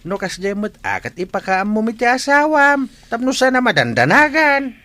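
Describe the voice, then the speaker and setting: A man's voice: very high voice, sing-song, normal speed, sharp tone, dry recording, heavily acted. A man playing a stern older father-in-law who scolds, in an audio drama. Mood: reproachful.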